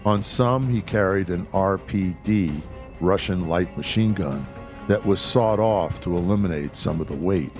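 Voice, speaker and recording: A man's voice: low-pitched, unhurried, faint music behind. A man narrating scripted documentary-style background as the host of the programme.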